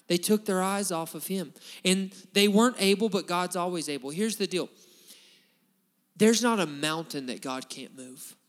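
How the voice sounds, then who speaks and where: A man's voice: a little high, fairly bright tone. A man preaching a sermon in an emphatic, building manner, often restarting his phrases. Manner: emphatic, repetitive restarts, rising bursts of intensity. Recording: long hall reverb.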